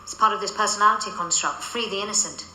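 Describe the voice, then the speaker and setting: A woman's voice: mid-range voice, fast talker. An actress playing an eccentric paranormal agent in a radio drama, matter-of-fact and blithely confident as she reasons aloud. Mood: matter-of-fact scheming. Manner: blithe, analytical, deadpan. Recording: slightly distant, clear background noise and ambience.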